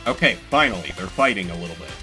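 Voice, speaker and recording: Guy streaming a game, bright voice swinging all over, sarcastic, music behind him. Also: low-pitched, fast talker. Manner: animated, profane, sarcastic. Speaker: streamer playing and voicing a game solo. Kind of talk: casual gameplay commentary.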